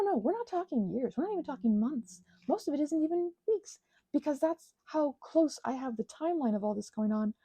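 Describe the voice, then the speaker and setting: A woman chatting casually in a rambling, digressive way to her audience, animated and poking fun at herself. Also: high-pitched, slightly rough, no echo. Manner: animated, self-mocking, digressive. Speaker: hobbyist creator talking to her audience. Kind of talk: casual rambling chat, ASMR channel.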